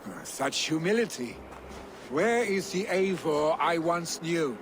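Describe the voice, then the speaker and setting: A man's voice: fairly high pitch, lively intonation, slow, background noise. An actor playing a boisterous, mocking Viking jarl's son in a video game, swaggering and taunting as he talks. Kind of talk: cinematic game acting.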